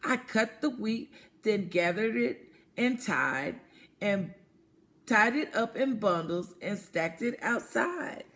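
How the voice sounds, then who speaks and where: Storybook hen, played by a woman: female, put-upon and self-reliant, emphatic, measured delivery with some fry. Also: medium pitch, lively intonation, fairly bright tone. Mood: indignant. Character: hardworking, long-suffering little red hen, female. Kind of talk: storybook character voice for children.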